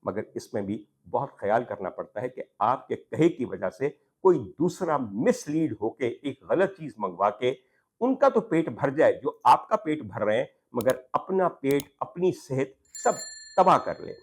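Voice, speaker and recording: A man's voice: mid-range voice, big pitch swings, measured pace, breathy edge. A man with a Pakistani accent hosting a talk programme, addressing viewers formally in an opinion monologue with rhetorical questions and emphatic repetition. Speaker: talk show host. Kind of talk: opinion monologue to camera on social issues.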